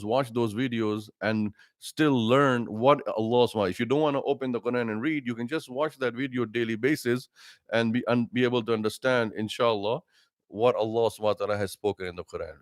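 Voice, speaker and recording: A middle-aged man, an imam, giving an earnest livestreamed religious talk with numbered tips and pauses to greet viewers. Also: medium pitch, dry recording, clean studio sound.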